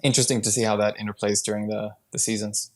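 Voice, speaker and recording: A man's voice: lowish voice, little pitch movement, fast. A man from Sweden who partly grew up in Brazil, talking casually as a podcast guest, often restarting his sentences. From born in Sweden, partly raised in Brazil. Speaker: podcast guest, startup founder. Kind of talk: casual conversational interview.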